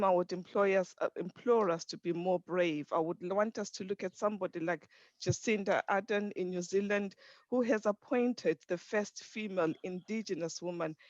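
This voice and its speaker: A man's voice: sits high, no room sound, dull audio. A man speaking earnestly on a panel, urging his listeners. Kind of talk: panel discussion.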